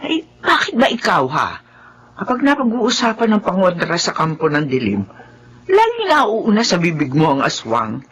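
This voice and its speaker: A woman playing an anxious, questioning village mother in a Tagalog horror radio drama, expressive and fretful. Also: lowish voice, normal speed, slight background noise. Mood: inquisitive.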